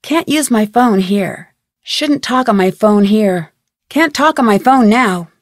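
A woman with an American accent playing a plucky, curious teenage girl detective in a video game, thinking aloud in a clear, chipper way. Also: medium pitch, animated, slow, no room sound, studio quality. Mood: matter-of-fact. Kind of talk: scripted video game voice lines.

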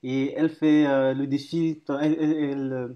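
A man's voice: medium pitch, flat delivery, fairly quick, muffled recording. A man in his thirties hosting a live video call, cheerful and hesitant, stumbling over repeated words and fillers. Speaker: host. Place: home office room.

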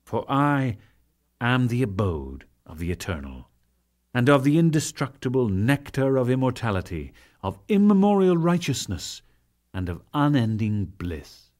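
Guy reading as a calm authoritative god, solemn lofty teaching, scripture audiobook, mid pitch that swings a lot. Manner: solemn, exalted, measured oratory. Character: serene, authoritative male god teaching his disciple.

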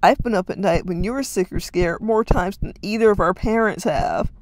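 A storyteller voicing a stressed teenage older brother who snaps and yells harshly. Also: mid-range voice, moderate pace, dry recording, natural voice, low-quality recording. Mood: furious, yelling. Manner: harsh, curt, yelling.

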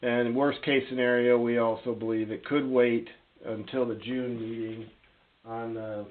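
A man's voice: medium pitch, level tone, unhurried. A man giving a formal, deferential report as a town official on a conference call. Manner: deferential, courteous. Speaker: town staff official presenting to council. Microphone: telephone. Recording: tinny phone line with faint noise.

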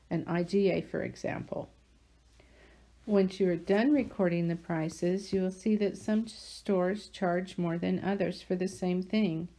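A woman hosting a channel, reading a scripted how-to voice-over over slides in an even, instructional way. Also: on the low side, creaky. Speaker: channel host.

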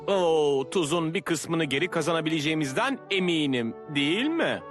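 An actor playing a curious, hopeful pupil in a cartoon dub. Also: male voice, on the high side, expressive, moderate pace, over music, natural voice. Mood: inquisitive. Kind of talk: animated educational cartoon dub.

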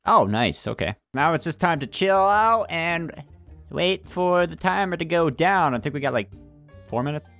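A man with a fairly high voice and animated intonation chats casually through a co-op video game. Music plays behind him. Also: moderate pace, gravelly. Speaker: gamer, video host. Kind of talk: casual co-op gameplay chat.

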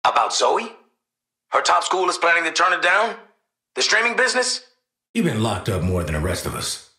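Video game assassin: American, cynical and cocky, wry street slang, lively pitch swings, roomy sound. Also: male voice, brisk. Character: cynical, cocky male assassin and protective father. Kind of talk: video game character voice lines.

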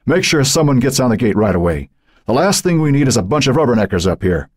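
Man as a firm, husky police chief. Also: lowish voice, lively intonation, fairly quick, darkish tone, voice pushed up. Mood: brisk, commanding. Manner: firm, controlled, commanding. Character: steady, authoritative male small-town police chief.

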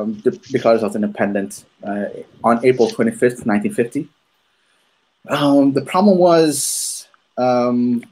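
A man in his thirties from Maluku, hosting a podcast over a video call, telling his story at an unhurried pace with many ums and restarts. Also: medium pitch, a little mellow, low-quality recording. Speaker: podcast host. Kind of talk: casual conversational storytelling. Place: room at home, on a video call. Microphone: earphones with a desk microphone.

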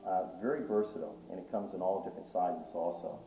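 A man's voice: deep voice, fast talker, dark tone, recorded from a distance, a little hiss or hum. A middle-aged man who owns a clothing boutique, showing off items with eager salesman talk full of fillers. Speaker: boutique owner. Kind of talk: product walkthrough in interview. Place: menswear boutique, indoors. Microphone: far camera. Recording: echoey shop sound from off-mic.

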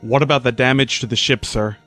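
A man's voice: mid-range voice, fairly even intonation, a little fast, sharp tone, faint music behind, natural voice. A man playing a wry, reasonable spaceship crewman in an audio drama. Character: wisecracking but reasonable male spaceship crewman.

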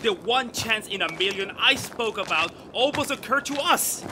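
Man acting excitable, high-voiced gentleman astronomer, radio drama. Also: moderate pace, background noise. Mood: breathless excitement. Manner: theatrical, grandiose, professorial. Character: excitable, lecturing amateur astronomer, doting on his sweetheart.

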